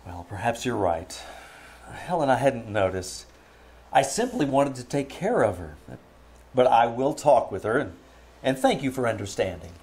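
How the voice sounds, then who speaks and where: An actor playing a kindly, courteous young prince in old-time radio drama style, gentle and a little hesitant in his speech. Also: medium pitch, animated, moderate pace, natural voice. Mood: puzzled, considerate. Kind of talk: old-time radio drama acting, live stage performance.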